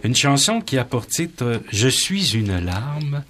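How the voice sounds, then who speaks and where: A man's voice: mid-range voice, very animated, takes it slowly, fairly bright tone. A man hosting a studio interview in a formal, courteous, conversational way. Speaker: host interviewing a musician. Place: broadcast studio.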